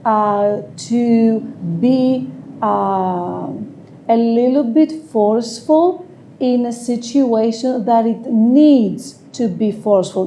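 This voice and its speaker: A woman with a Greek accent giving a tarot reading, speaking hesitantly and searching for words with frequent pauses and fillers. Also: fairly high pitch, warm tone. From Greece. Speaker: tarot reader. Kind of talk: slow, halting tarot reading with many fillers.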